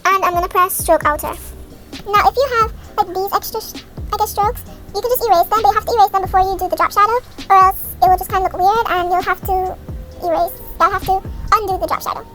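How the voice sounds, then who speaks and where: A woman's voice: very high-pitched, fairly even intonation, quick pace, fairly bright tone. A young woman giving a casual, step-by-step tutorial as a video creator in a voice-over. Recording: music behind the voice. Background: background music.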